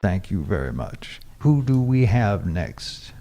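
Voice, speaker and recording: A man playing a pompous, philosophical pirate-radio host with a gravelly, husky voice and a solemn, wordy manner. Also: low-pitched, sing-song, slow, mellow, slight background noise, full character voice. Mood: dramatic. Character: grandiose philosophical male pirate-radio host, earnest hippie preacher type. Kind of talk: comic radio drama acting.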